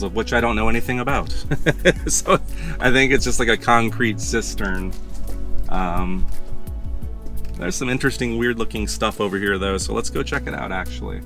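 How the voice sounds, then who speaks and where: Raspy man vlogging over background music. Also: fairly low pitch, fairly bright tone. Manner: thinking aloud, rambling with fillers. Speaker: travel vlogger. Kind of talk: casual travel vlog. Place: outdoors in the desert, in and out of a truck.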